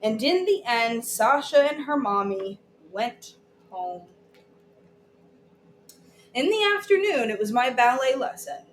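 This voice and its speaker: High-pitched, animated woman reading to a class. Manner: deliberate storytelling with questions to listeners. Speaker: teacher reading aloud to a class. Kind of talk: interactive children's story read-aloud. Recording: echoey room with background noise.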